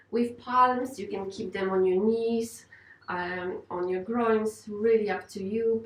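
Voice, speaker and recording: A woman teaching yoga and guided meditation, speaking in a gentle, unhurried, reassuring way. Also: mid-range voice. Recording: roomy, distant microphone.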